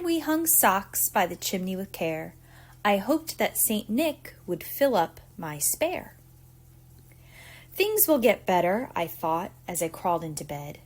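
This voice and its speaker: A woman reading a picture book aloud to young children in an expressive, theatrical storytelling manner. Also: mid-range voice. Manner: deliberate, theatrical storytelling with acted emphasis. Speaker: preschool teacher reading aloud.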